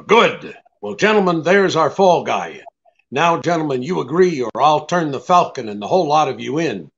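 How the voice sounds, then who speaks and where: An actor playing a tough, shrewd private detective in a radio drama, brisk and commanding. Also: male voice, a little high, very animated, creaky, fairly bright tone, highs cut off, exaggerated voice. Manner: brisk, hard-boiled, commanding. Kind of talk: old-time radio drama acting.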